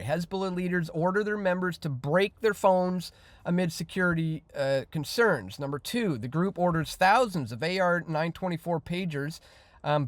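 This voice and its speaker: A man giving off-the-cuff news commentary as an independent host, reading out headlines in a halting but emphatic way. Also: on the high side, dry recording.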